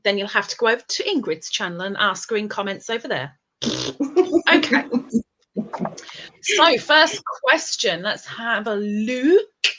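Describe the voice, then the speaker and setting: Playful high-voiced woman host. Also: a little slow, long pauses, rough recording. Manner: playful, teasing, animated. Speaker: live-stream interview host. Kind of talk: casual live-stream interview.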